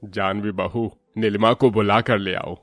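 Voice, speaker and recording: A man's voice: fairly low pitch, fairly even intonation, unhurried, no room sound, clean studio sound, natural voice. A man playing an older father-in-law in a cartoon family moral story. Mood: instructing. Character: older, puzzled father-in-law, head of household.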